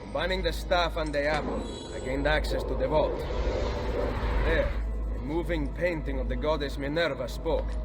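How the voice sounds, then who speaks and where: A man acts out a confident Italian assassin nobleman in a dramatic game scene. He speaks English with an Italian accent at a middling pitch, measured and formal, with music clearly behind him. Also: natural voice. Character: Ezio, composed confident Italian assassin nobleman in his prime. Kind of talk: dramatic video game acting.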